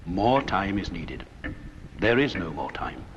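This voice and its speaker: Really deep guy narrating old 70s training film, solemn and rhetorical, lively intonation, dull noisy audio. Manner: solemn, rhetorical, measured emphasis. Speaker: narrator and presenter. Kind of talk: scripted corporate film narration. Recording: muffled, noisy 1970s 16mm film soundtrack.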